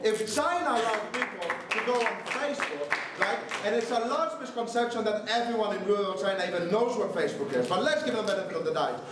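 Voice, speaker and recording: A man's voice: sits high, lively intonation, a crowd in the background, background noise. Young man from Greece debating, sarcastic. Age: young adult. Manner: brisk, sarcastic, rhetorical. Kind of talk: competitive debate speech. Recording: echoey room, distant mic.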